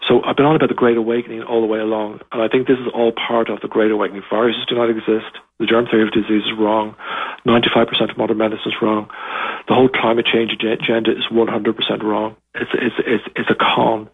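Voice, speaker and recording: A man from Dublin with an Irish accent, speaking as an interview guest in halting, restart-filled but emphatic talk. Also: on the low side, little pitch movement, husky. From Ireland (Dublin). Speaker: guest, author. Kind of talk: remote interview, casual talk. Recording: tinny phone-like line.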